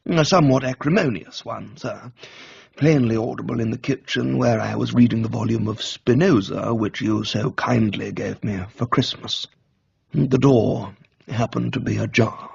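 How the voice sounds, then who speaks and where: A man voicing an unflappable, deferential English valet with formal, measured, refined speech in a dramatised book reading. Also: medium pitch, creaky, darkish tone, breathy edge, highs cut off, full character voice. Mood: unruffled.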